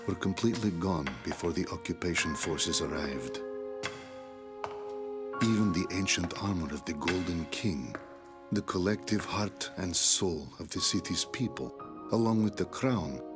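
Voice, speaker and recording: A deep-voiced, weary occupying officer narrates a game cutscene. He is observant and formal, with measured, melancholy delivery. Music is clearly heard behind him. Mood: regretful. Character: weary, observant military intelligence officer of an occupying air force. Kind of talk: scripted dramatic narration.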